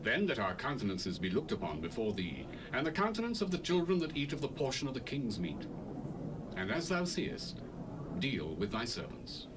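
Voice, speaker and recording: A man's voice: mid-range voice, huge pitch swings, normal speed. An actor playing Daniel, an earnest, respectful young man making a humble request, on an old cassette with tape hiss.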